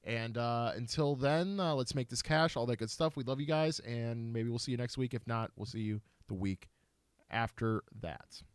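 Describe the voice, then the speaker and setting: A man in his thirties co-hosting a casual chat show, his voice sitting at an ordinary middle pitch. He talks loosely and playfully and laughs a lot. The sound is close and dry. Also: moderate pace, clean tone, dry recording. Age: 30s. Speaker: podcast co-host.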